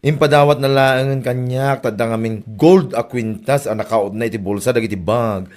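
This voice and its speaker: A man's voice: medium pitch, moderate pace, darkish tone, close to an everyday voice. A man playing an eager, gossipy friend in a comedy drama, exclaiming as he chats. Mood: excited, gossipy. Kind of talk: Ilocano comedy drama acting.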